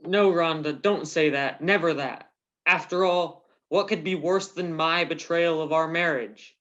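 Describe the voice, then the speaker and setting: Soap parody husband played by a man: earnest, anguished, unhurried, on a muffled lo-fi radio play recording. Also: on the high side, fairly bright tone. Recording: dull lo-fi recording.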